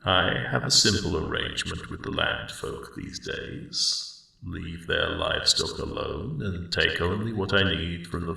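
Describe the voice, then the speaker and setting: Very deep, gravelly ancient dragon. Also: male voice, exaggerated voice. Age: elderly. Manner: slow, rambling, reflective, gently wry. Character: friendly ancient male dragon, mellowed guardian of a spring. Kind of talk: ASMR roleplay monologue. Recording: roomy cave-like echo, distant sound.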